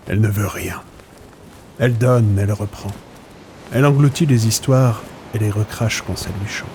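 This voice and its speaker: Man playing a deep-voiced, weary old sailor. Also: moderate pace, a little mellow, a little hiss or hum, natural voice. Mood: knowing, brooding. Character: old storm-worn sailor, melancholy and haunted by lost comrades. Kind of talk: French audio drama acting.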